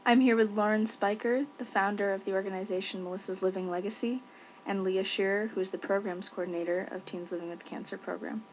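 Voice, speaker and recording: A woman's voice: mid-range voice, fairly even intonation, brisk, a little mellow, rough recording. Female interviewer, plain mid voice, polite direct questions, faint hiss.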